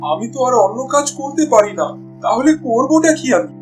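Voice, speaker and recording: Cartoon folk-tale fisherman male actor: high-pitched, weary, plaintive loving father, music underneath. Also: expressive, a little fast. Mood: despairing, pleading. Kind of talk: cartoon voice acting.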